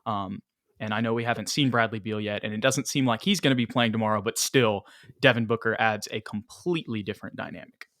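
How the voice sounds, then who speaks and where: Bright-voiced man on a sports podcast. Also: fairly low pitch, fast, no echo, clean studio sound. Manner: animated, laughs often, quotes others in playful voices. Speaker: podcast co-host. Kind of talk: casual sports podcast chat.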